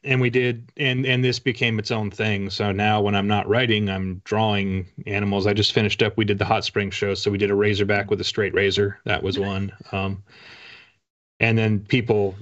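A middle-aged man chatting casually as an interview guest, telling stories in a halting, rambling, humorous way. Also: lowish voice, little pitch movement, dull audio. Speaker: interview guest, author and illustrator. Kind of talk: casual chat on a video interview. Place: indoor room lined with bookshelves.

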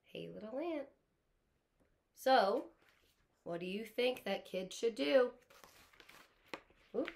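Lively, high-voiced woman teacher reading aloud. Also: slow, vocal fry, pauses often. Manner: expressive, explains simply and asks questions. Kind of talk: picture book read-aloud with explanatory asides. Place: living room at home.

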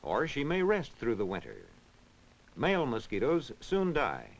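A man reading scripted educational narration in a measured, instructional, clearly enunciated way. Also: mid-range voice, wildly swooping pitch. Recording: dull, band-limited vintage film soundtrack.

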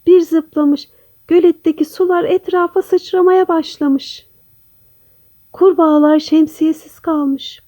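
A woman storyteller reading a scripted children's bedtime tale in a gentle, expressive manner. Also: sits high.

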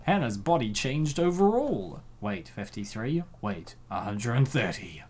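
A man's voice: medium pitch, very animated, a little slow, a little mellow. A man hosting casual comic commentary, jokey and given to exaggerated reactions. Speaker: host.

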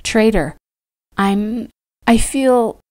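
A woman voicing an anxious, devoted young woman in an audiobook, speaking in a breathy, earnest, hesitant way. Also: mid-range voice, expressive, unhurried, mellow, pitched above the performer's own voice. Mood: pleading.

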